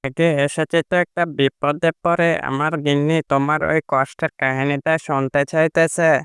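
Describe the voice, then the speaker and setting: A man's voice: a little high, little pitch movement, normal speed, a bit bright, smooth, dry recording, clean studio sound, close to an everyday voice. A man voicing a timid, earnest village husband in a cartoon, pleading and explaining. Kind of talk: cartoon voice acting.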